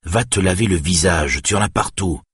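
A man's voice: low-pitched, moderate pace, breathy edge, no echo, clean studio sound, full character voice. A man voicing a harsh, exasperated father who scolds his young daughter in a stern, angry voice for an audiobook. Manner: stern, shouting when angered.

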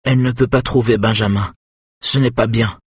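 A man's voice: medium pitch, fairly even intonation, normal speed, husky, no room sound, voice pushed up, lightly acted. A man voicing a big childlike young man, anxious and innocent, who talks in a simple, eager way close to tears. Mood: worried.

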